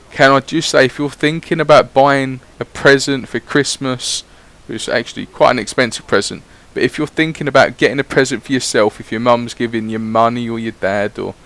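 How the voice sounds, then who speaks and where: A man's voice: medium pitch, fairly bright tone. A man giving casual, rambling voice-over commentary on his gameplay, swearing freely as he chats. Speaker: gaming YouTuber.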